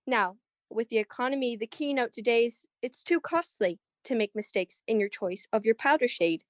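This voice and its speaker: Woman acting a higher-pitched radio ad announcer. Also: lively intonation, moderate pace, no room sound, clean studio sound, lightly acted. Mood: persuasive. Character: polished, persuasive 1940s radio cosmetics announcer. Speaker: commercial announcer. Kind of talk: scripted 1940s radio advertisement.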